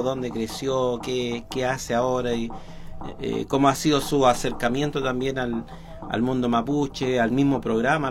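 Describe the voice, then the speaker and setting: Radio host guy, mid voice, easygoing, bit of noise in the background. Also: mid-range voice. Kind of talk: radio talk show hosting.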